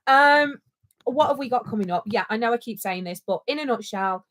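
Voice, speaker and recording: A woman's voice: on the high side, animated, fairly quick, fairly bright tone. A woman co-hosting a casual livestream chat, speaking with passion and repeating her points for emphasis. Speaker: co-host.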